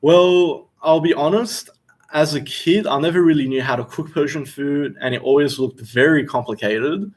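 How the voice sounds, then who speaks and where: A man's voice: on the high side, moderate pace. Chatty Australian man hosting a livestream. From Western Australia. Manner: relaxed and chatty, reads viewer questions aloud. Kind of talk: casual livestream Q&A. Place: seated indoors.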